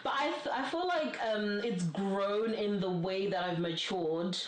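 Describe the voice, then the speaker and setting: A woman's voice: medium pitch, unhurried, slight background noise. A woman from Zimbabwe in a casual podcast chat, talking with frequent filler "like". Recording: roomy, echoey room.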